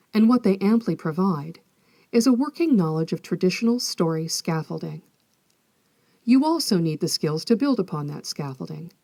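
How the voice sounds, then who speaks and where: A woman hosting an educational podcast, reading scripted narration in a measured, articulate, explanatory manner. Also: fairly low pitch, warm tone, no echo. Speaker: podcast host, folklorist.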